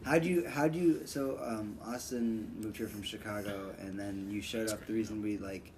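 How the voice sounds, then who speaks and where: A man's voice: lowish voice, fairly even intonation, normal speed, a little mellow, slight background noise, poor audio. A man hosting a podcast, telling rambling, profane stories in casual conversation. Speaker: podcast host. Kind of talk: casual chat, storytelling.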